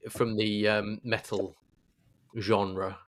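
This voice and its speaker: A man's voice: fairly low pitch, flat delivery, very slow. A man with a British accent chatting casually as a podcast co-host, given to jokey, sarcastic banter. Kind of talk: casual chat.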